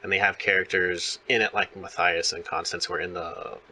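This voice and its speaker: A man's voice: low voice, flat delivery, a little fast, sharp tone, slight background noise. A man hosting a conversational interview, explaining things in a rambling way. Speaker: interviewer, host.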